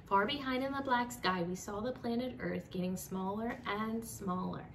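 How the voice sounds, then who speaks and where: A young woman reading a children's storybook aloud in an expressive storyteller style. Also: moderate pace. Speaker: children's book reader. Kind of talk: animated storybook read-aloud. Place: small home room with bookshelves. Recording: slightly distant mic, faint rumbling noise.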